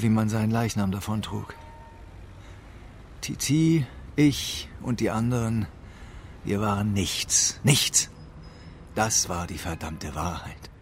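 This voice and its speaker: A man's voice: lowish voice, vocal fry, a little hiss or hum. An actor playing a weary, embittered homeless man, narrating with brooding reflection in an intimate radio drama. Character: weary, embittered homeless man mourning his best friend.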